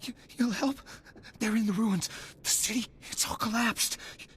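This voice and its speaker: An actor playing a traumatized, jumpy soldier survivor in a video game, with a frantic, stammering delivery. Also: male voice, sits high, normal speed, fairly bright tone, husky, heavily acted. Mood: haunted, urgent.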